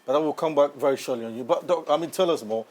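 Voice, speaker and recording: A man's voice: on the high side, racing, darkish tone. A man, interviewing guests as host of a sports talk show.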